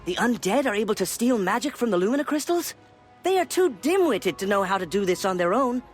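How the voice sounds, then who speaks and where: A man playing an eager, slightly smug young student wizard in a video game, expressive and bookish. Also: high-pitched, fairly quick, faint music behind. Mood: excited. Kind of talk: video game character acting.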